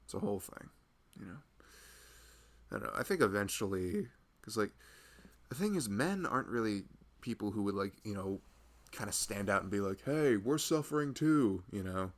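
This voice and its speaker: A man's voice: on the low side, animated, moderate pace. A man playing a loving husband who rambles tenderly and a little anxiously in an intimate, conversational ASMR roleplay. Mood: musing, rambling. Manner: rambling, hesitant, conversational, frequent "you know". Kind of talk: intimate ASMR boyfriend roleplay, improvised-sounding chat.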